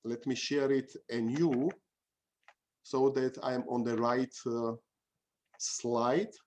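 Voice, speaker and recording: A man giving a halting online presentation over a video call, repeating words and searching for phrasing. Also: mid-range voice, little pitch movement, darkish tone. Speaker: workshop presenter.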